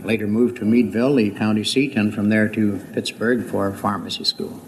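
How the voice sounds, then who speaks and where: A man's voice: on the low side, fairly even intonation, moderate pace. An American man from Pennsylvania, a contestant answering formally and precisely on a 1950s radio quiz. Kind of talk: polite 1950s radio interview. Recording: faintly noisy 1950s radio recording.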